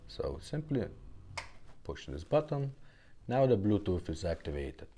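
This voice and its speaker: A man with a very low, dark voice hosts a casual hands-on demonstration. He speaks in plain, short phrases and leaves pauses between steps. Music can be heard in the background. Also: sing-song. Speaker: host, car radio repair technician demonstrating a unit.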